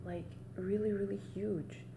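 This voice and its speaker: Young woman hosting an ASMR stream: soft-spoken and whispery, leisurely pace, heavy noise behind her. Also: medium pitch, measured pace, gravelly. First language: Italian. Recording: loud steady background noise.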